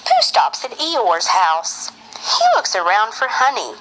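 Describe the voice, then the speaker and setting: A woman narrating a children's storybook for a puppet show in an expressive, sing-song read-aloud manner. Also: mid-range voice, slow pace, slight background noise, low-quality recording.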